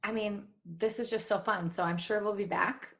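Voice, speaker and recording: A woman's voice: mid-range voice, fairly even intonation, moderate pace, creaky. A woman co-hosting a casual, joking live podcast, chatty and prone to giggling. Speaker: podcast co-host.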